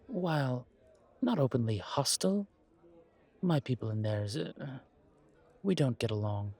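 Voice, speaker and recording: A man playing a genteel, world-weary vampire in an audio roleplay, speaking in a courtly, deliberate way with pauses and sighs. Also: medium pitch, lively intonation, a little mellow, slightly rough. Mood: wry, amused. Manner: courtly, deliberate, pausing, sighing between phrases. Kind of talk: slow audio roleplay acting, addressed to listener.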